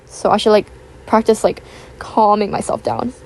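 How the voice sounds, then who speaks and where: Raspy young woman chatting in a rambling way. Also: mid-range voice, little pitch movement, moderate pace, darkish tone, rough recording. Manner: rambling, filler-heavy, chatty. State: raspy from just waking up. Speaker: YouTuber. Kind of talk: casual chat voiceover. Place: home, with household noise. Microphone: built-in tablet microphone.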